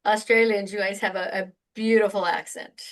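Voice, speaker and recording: A woman's voice: medium pitch, fairly even intonation, normal speed. A woman hosting an interview, asking short eager questions and laughing often. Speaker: interview host. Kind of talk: friendly interview.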